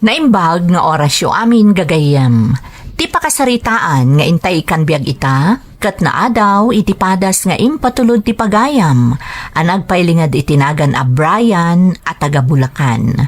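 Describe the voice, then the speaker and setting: A woman's voice: fairly low pitch, sing-song, unhurried. A woman hosting a drama program, reading scripted narration and listener greetings. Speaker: radio drama program host.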